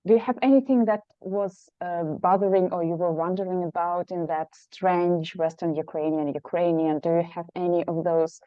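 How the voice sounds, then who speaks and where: A woman's voice: lowish voice, steady tone, moderate pace, few pauses, no room sound, lo-fi sound. A woman in the audience asking a long, winding question after an academic talk, with many fillers. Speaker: audience member, language teacher.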